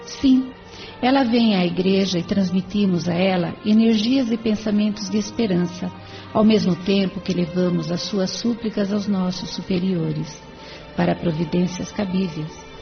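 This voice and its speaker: A woman's voice: medium pitch, moderate pace, gravelly, faint music behind, close to an everyday voice. An actress with a Brazilian accent voicing a serene, devout female spirit helper who explains things patiently in an audio drama. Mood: serene, instructive. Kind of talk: audio drama acting in Portuguese. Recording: dull lo-fi audio.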